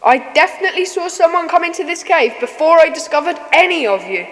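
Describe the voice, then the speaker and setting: A woman's voice: extremely high pitch, animated, fast talker, big-room sound, rough recording. A woman playing an earnest, puzzled schoolboy in a children's adventure audio drama. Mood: puzzled, insistent.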